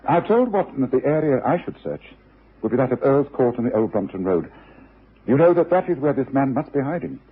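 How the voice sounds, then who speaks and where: An actor playing a brisk, exacting private detective with a British accent, clipped and authoritative, in a radio drama. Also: male voice, medium pitch, animated, slightly rough. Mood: brisk, thoughtful. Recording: muffled, tinny old radio recording.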